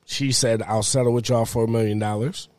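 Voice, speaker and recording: A man co-hosting a podcast who tells stories in animated, quick bursts during casual banter. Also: medium pitch. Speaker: podcast co-host.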